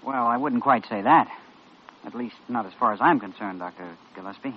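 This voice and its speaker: An actor with an American accent playing an anxious, hesitant young intern in a 1940s radio drama. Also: male voice, medium pitch, fairly quick, natural voice. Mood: uneasy. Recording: dull, noisy old radio recording.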